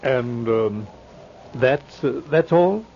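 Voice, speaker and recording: An actor playing a courteous, matter-of-fact ship's captain in an old-time radio drama, speaking politely and formally. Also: male voice, mid-range voice, animated, very slow, creaky, full character voice. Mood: polite, businesslike. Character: courteous, matter-of-fact male ship's captain presiding over grim discovery. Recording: muffled, very noisy old radio recording.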